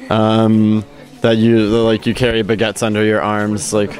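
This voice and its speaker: A man's voice: on the low side, measured pace. A young man from Montana talking casually with "like" fillers. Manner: relaxed, "like" fillers. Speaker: student.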